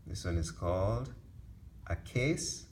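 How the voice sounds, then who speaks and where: A man with a Kenyan accent, from Kenya, talking through his photographs in a relaxed gallery talk. His voice sits very low and he speaks slowly, halting now and then with fillers and soft little laughs. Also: slight background noise. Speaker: photographer presenting his exhibition.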